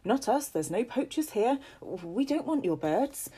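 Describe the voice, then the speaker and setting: A woman's voice: a little high, very animated, unhurried, dark tone, slightly rough, voice pushed up, heavily acted. A woman voicing a nervous, stammering old soldier among travelling folk who pleads with a landowner. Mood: pleading.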